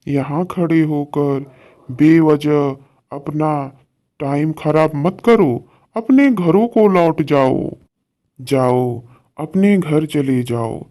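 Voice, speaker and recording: A man's voice: a little high, dark tone. A man voicing a stern, wise holy hermit in a cartoon story, solemn and admonishing.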